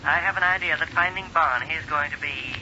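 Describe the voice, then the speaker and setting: An actor playing an impatient older scientist with clipped, insistent delivery in a 1930s radio drama style. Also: male voice, fairly high pitch, fast talker, bright, forceful tone. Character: brisk, impatient older male scientist with authority. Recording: muffled, hissy 1930s radio recording.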